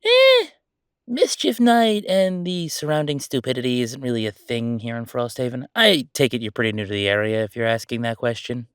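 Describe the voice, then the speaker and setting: A man playing a friendly, mischievous ghost roommate in a casual roleplay audio drama, talking in an excitable, joking, conversational way. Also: medium pitch, moderate pace, clear, resonant tone, no echo, studio quality, close to an everyday voice. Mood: chatty, relaxed. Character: friendly male ghost roommate, playful, mischievous, chatty jokester.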